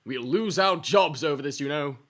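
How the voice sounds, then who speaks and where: An actor playing a tense, demanding superior scolding underlings in an audio drama. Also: male voice, a little high, normal speed, a bit bright. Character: anxious, demanding guard superior.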